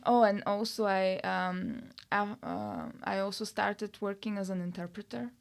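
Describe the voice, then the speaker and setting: Woman, young adult, earnest, short phrases, mid pitch. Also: sing-song, normal speed, vocal fry. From Bulgaria. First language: Bulgarian. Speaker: podcast guest. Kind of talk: casual interview chat.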